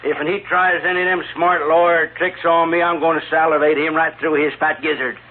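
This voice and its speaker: A man's voice: fairly high pitch, fairly even intonation, a little fast, natural voice. An actor playing an ornery, uneducated frontier gunman in a 1950s radio western, with a folksy, blustering Western drawl. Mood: threatening. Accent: American West drawl. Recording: tinny, faintly noisy old radio.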